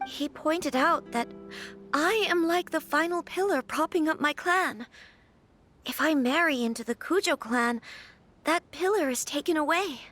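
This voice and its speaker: Casting: young noblewoman for a fantasy game, high-pitched, courteous, conflicted and hesitant. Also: unhurried, fairly bright tone, soft background music. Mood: concerned. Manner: polite, earnest, hesitant with sighs. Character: troubled young noblewoman, polite and conflicted about her wedding. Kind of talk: video game voice acting, scripted dialogue.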